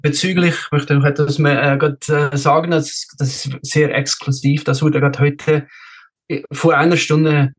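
A man's voice: fairly high pitch, level tone, moderate pace. A man from Switzerland talking as a race director in a casual podcast interview, repeating words and dropping in the odd English one.

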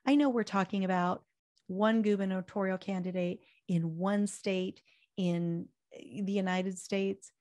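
An older woman hosting a political talk radio show, hoarse and speaking with fiery, preachy conviction before a chatty sign-off. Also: mid-range voice, steady tone, unhurried, darkish tone.

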